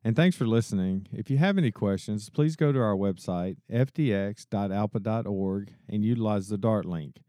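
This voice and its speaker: A man's voice: lowish voice, moderate pace, few pauses, warm tone, dry recording, clean studio sound. A man hosting an interview podcast, asking questions and signing off in a friendly, scripted way. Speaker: podcast host.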